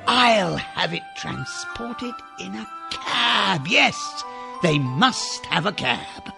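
An actor playing an elderly English former miser, giddy and overjoyed, in an excitable, stammering, theatrical radio drama style. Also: male voice, high voice, drawn-out words, vocal fry, over music, full character voice. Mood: merry, excited. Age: older.

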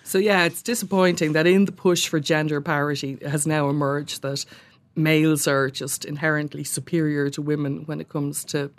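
A woman's voice: low-pitched, steady tone, normal speed. A woman chatting in a radio studio as a guest pundit, wry and joking with rambling asides. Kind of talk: radio chat.

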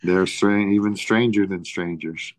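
Male co-host, slow and hesitant, dryly conversational, little room sound. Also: low voice, steady tone, no room sound. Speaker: podcast co-host. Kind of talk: casual chat.

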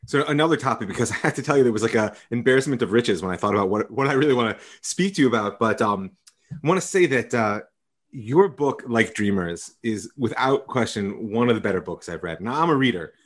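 A man's voice: medium pitch, animated, fairly quick. A man hosting an interview as a rabbi, speaking thoughtfully and effusively, with many fillers and restarts. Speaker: podcast host, rabbi. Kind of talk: conversational interview.

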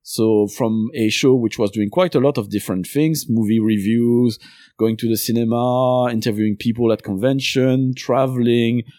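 A man from Belgium with a Belgian French accent, speaking English as a second language in a relaxed interview. His voice is dark and mellow, and the recording is close and dry. He rambles at length, peppered with uh. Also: medium pitch, moderate pace, dry recording. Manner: talks at length, many uh fillers. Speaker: podcast host and game designer guest. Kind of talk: casual interview chat.

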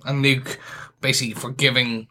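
A man's voice: medium pitch, little pitch movement, normal speed, pushed and bright. A man co-hosting a casual podcast chat, thinking aloud with restarts as he talks through a scene he liked. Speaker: podcast co-host.

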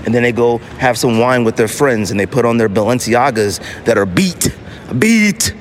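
A man with a mid-pitched voice delivers a casual podcast monologue. He is emphatic and leans on repetition, with noticeable noise around him. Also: expressive, normal speed. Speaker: podcast host.

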